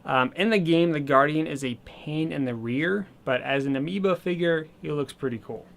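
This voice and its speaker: Casual, rambling male vlogger, a touch hoarse, voice pitched a bit high. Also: moderate pace, a little hiss or hum. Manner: chatty and rambling, with many fillers. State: slightly hoarse, losing his voice. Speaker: gaming channel host. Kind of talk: casual video game haul vlog.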